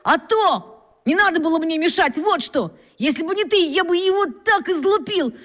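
A cocky little schoolboy in a children's radio play. The voice is extremely high and lively in pitch, petulant and boastful throughout. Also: female voice, moderate pace. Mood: cross, boastful.

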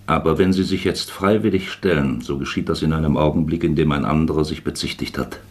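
An actor in a German radio drama playing a shrewd, paternal investigating official who speaks deliberately and persuasively. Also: male voice, very deep voice. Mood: coolly persuasive. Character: shrewd, self-admittedly plump male investigating official, paternally probing.

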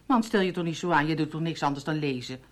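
An actress playing a suspicious, sharp-tongued wife in a radio play, sarcastic and challenging. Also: female voice, deep voice, fast talker, close to an everyday voice. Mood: irritated, needling. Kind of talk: Dutch radio play acting.

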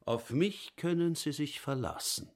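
A man's voice: on the high side, unhurried, natural voice. An actor playing a brawny, brutish but servile male caretaker with an Austrian accent, blustering and colloquial, in a radio play. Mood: eager, blustering.

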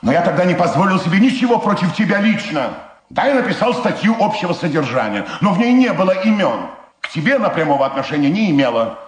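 An actor playing an anxious, self-justifying city prosecutor in a radio drama, probing and faltering when cornered. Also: male voice, high voice, sing-song, fast talker, reverberant. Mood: defensive, agitated.

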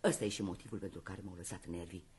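An actress playing a proud, high-strung theatre actress in a radio play, declaiming in a grand, sentimental, impassioned way. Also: female voice, steady tone, darkish tone. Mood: wounded, nervy.

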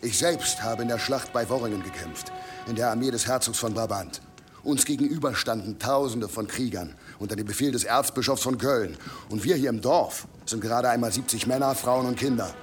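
An actor as a grave, medium-pitched war veteran. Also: male voice, soft background music, natural voice. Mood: grave warning. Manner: measured, grave. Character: sober male war veteran villager, warning realist. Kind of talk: German radio drama acting.

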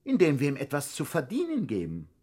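A man's voice: fairly high pitch, wildly swooping pitch, a little fast, dark tone, husky, exaggerated voice. An actor playing a charming male master crook gone straight in a 1960s radio play, with an amused manner.

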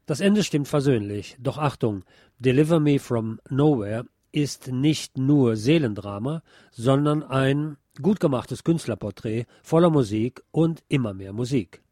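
A man's voice: mid-range voice, expressive, a little slow, mellow, no echo, clean studio sound. A man presenting a scripted film review as narration.

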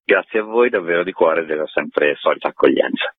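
A man's voice: deep voice, fairly quick. A man explaining his work informally as a guest in a radio interview. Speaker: festival artistic director, interview guest. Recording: muffled lo-fi radio interview line.

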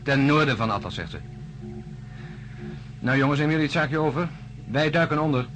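An actor playing a seasoned astronaut in a science fiction radio drama, brisk, matter-of-fact and wry. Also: male voice, a little high, music in the background, close to an everyday voice. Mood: brisk, businesslike. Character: seasoned, brisk male astronaut in charge aboard spacecraft. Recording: noisy drama recording with cabin ambience.

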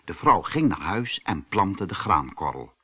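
A man reading a children's fairy tale as a storyteller, expressive and deliberate in his delivery. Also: low voice, breathy edge. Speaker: storyteller, audiobook reader.

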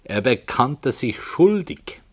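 An actor in a German radio play playing a composed, unyielding author who narrates in a measured, solemn way. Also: male voice, mid-range voice, very animated, a little mellow, husky, full character voice.

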